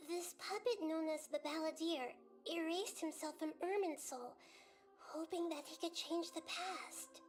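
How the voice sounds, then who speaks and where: A woman voicing a gentle young girl goddess in a video game, speaking in a soft, measured, thoughtful way. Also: very high-pitched, over music.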